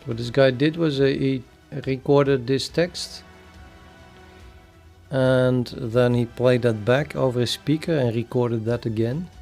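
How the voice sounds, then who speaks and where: Brief: Dutch-accented male streamer, gravelly voice, halting and full of fillers, faint music underneath. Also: medium pitch, a little mellow. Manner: thinking aloud, halting with many fillers. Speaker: programming livestreamer. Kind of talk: casual live-stream chat.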